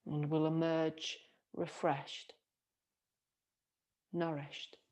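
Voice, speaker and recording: A woman yoga teacher guiding a relaxation meditation in a soothing, gentle manner with long pauses. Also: low-pitched, level tone.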